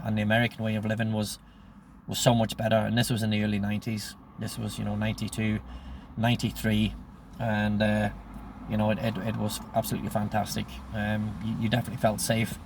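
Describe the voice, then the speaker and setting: A man's voice: lowish voice, slight background noise. A man of sixty with a Northern Irish accent, telling stories from his own life in a casual, rambling way and quoting remembered conversations. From Northern Ireland. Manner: rambling, acts out remembered dialogue. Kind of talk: casual anecdotal monologue.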